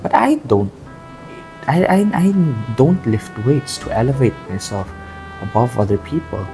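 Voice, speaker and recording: Mellow, earnest young-sounding guy from Southeast Asia. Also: medium pitch, sing-song, faint music behind, rough recording. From Southeast Asia, grew up in Thailand and the Philippines. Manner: earnest, reflective, halting with repeated phrases. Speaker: amateur bodybuilder vlogger. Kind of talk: casual confessional vlog talk.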